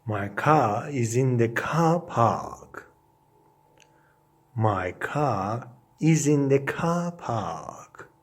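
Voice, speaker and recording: Male language teacher for phrase drills, extremely slow delivery, lively intonation, deliberate and separated phrasing. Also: medium pitch. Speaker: English teacher. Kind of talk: English lesson, reading short phrases aloud with repetition.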